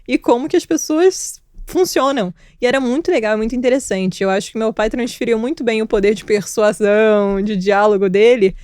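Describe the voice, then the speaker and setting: A woman's voice: fairly high pitch, a little mellow, no echo, clean studio sound. A young woman who grew up in the former Soviet Union, a podcast guest recounting her family's past candidly and in detail. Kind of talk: casual conversational interview.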